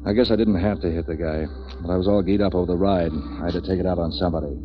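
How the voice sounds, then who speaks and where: Man acting hard-boiled radio hero, very deep voice, deadpan, clipped, sardonic, dull old broadcast sound. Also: rapid-fire, over music, lightly acted. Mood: deadpan, world-weary. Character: street-wise tough hired hand, sardonic narrator hero. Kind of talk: 1940s radio detective acting and narration. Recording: dull vintage 1940s radio recording.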